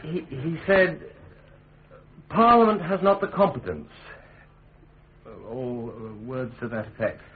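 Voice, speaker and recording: An actor playing a nervous, evasive witness giving hesitant, subdued testimony in a radio drama. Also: male voice, mid-range voice, takes it slowly, long pauses, phone-quality sound, close to an everyday voice. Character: uneasy, evasive male witness, ambitious perjurer.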